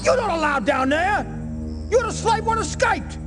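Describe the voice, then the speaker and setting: Very shrill, bossy guard. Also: male voice, animated, normal speed. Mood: alarmed, scolding. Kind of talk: animated adventure game voice acting. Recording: music behind the voice.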